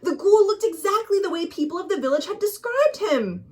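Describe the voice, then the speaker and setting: An American woman reading a children's story expressively, with dramatic, deliberate emphasis and questions to young listeners. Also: very high voice, expressive, darkish tone. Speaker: librarian, storytime reader.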